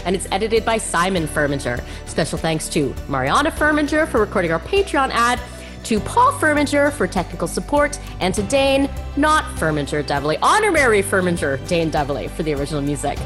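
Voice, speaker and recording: A woman speaks as a podcast host, with a pitch that moves around animatedly. Her delivery is effusive and expressive, suited to chatting with a guest and addressing listeners. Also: fairly quick, music in the background. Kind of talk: warm interview chat and show sign-off.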